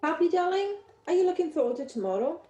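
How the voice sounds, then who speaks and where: A woman's voice: very high voice, sing-song, fast talker, warm tone, highs cut off. A woman playing a loving, contented middle-aged wife in an amateur radio play. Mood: loving, tender. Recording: echoey, noisy home recording.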